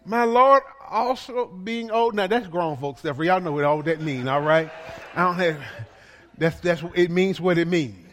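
A man's voice: fairly high pitch, moderate pace, big-room sound, a little hiss or hum. A male pastor preaching a sermon in a playful way, with lots of repetition and call-and-response to the congregation.

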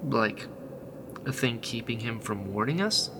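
A man's voice: medium pitch, expressive, a little slow, noisy background. A man playing a weary, troubled rebel medic in a sci-fi radio drama, speaking in an earnest, questioning way. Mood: troubled, puzzled.

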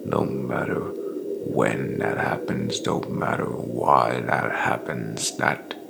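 An actor playing a grim, remorseless bounty hunter and war veteran in a dark fantasy audio drama, confessing in a breathy, half-whispered rasp with a brooding, folksy drawl. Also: male voice, very deep voice, slow, very noisy, full character voice. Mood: bitter, accusing.

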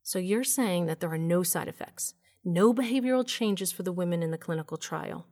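A woman's voice: lowish voice, a little fast, mellow, close to an everyday voice. An actress playing a sceptical woman who presses a doctor with pointed questions in an audio drama. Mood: probing.

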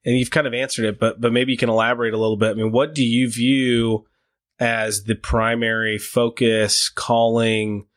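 A man hosting a podcast interview, halting and restarting his sentences as he asks questions. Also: medium pitch, little pitch movement, no room sound, clean studio sound. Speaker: podcast host.

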